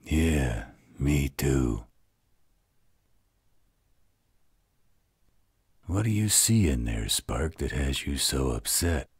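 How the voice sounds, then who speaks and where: Very deep, husky demon father. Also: expressive, takes it slowly, dry recording, studio quality, heavily acted. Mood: concerned, questioning. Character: gruff, protective male demon father in a fantasy werewolf tale. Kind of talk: fantasy audio roleplay acting.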